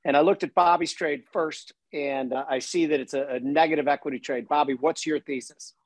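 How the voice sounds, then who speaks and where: Higher-pitched male host, dry sound. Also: fairly even intonation, fairly quick, no echo. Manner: informal, friendly, appreciative. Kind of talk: casual interview hosting.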